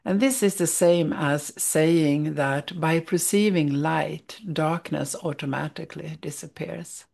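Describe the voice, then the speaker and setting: Slow, gravelly Swedish-accented woman. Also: sits low, steady tone. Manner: thoughtful, searching for words, soft restarts. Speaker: spiritual study teacher. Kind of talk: reading aloud and reflecting on a spiritual text.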